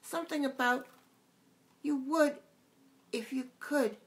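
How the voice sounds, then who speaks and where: A woman's voice: sits high, takes it slowly, pitched above the performer's own voice. A woman voicing a tiny, sad, pleading insect that coaxes and repeats little jokes, in a storybook reading. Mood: coaxing. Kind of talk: audiobook character voice.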